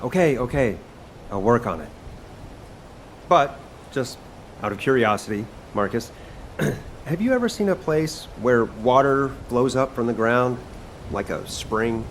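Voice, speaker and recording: A man's voice: medium pitch, lively intonation, a little slow, long pauses, slight background noise, lightly acted, poor audio. A man voicing a laid-back, sardonic young chef in a video game, speaking casually and expressively. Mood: casual, determined.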